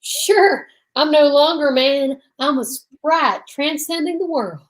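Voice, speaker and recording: Female actor as eccentric boozy male photographer, high voice, pompous and theatrical, radio-play style. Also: expressive, takes it slowly, poor audio. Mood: grand, whimsical. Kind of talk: old-time radio comedy-detective acting.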